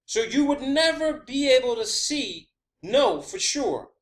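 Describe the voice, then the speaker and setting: A man with a distinctly high voice delivers an informal monologue as an online presenter. He is emphatic and repetitive, swears freely and poses questions that he answers himself. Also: lively intonation, a little slow. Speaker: online video maker presenting own theories. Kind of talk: informal monologue explaining a theory.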